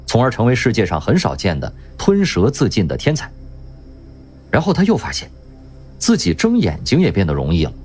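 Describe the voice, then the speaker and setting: A male narrator with a very low voice that still moves expressively through a story. He tells it with wry, dry asides. Faint music runs under his narration. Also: darkish tone, breathy edge. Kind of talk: scripted audiobook-style narration.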